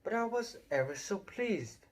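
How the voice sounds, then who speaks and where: A man's voice: sits high, very animated, a little slow, voice pushed up, heavily acted. A man voicing a bossy, know-it-all young schoolgirl who rattles on eagerly. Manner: bossy, talks in a rush.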